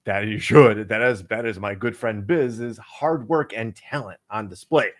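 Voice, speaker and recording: A man's voice: lowish voice, a little slow. A man co-hosting a casual podcast chat, rambling with many fillers and restarts. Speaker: co-host.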